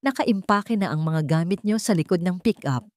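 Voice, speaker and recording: A woman's voice: lowish voice, animated, moderate pace, no room sound, close to an everyday voice. A woman narrator plays an older wealthy father in a romance drama. He is stern and serious, laying down his orders firmly in a dry recording. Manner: firm, emphatic.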